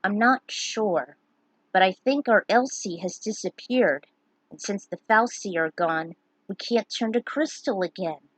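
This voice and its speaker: A woman's voice: medium pitch, a little slow, close to an everyday voice, poor audio. A woman voicing an earnest young adventurer, a friend of the heroine, in a story reading. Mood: pensive.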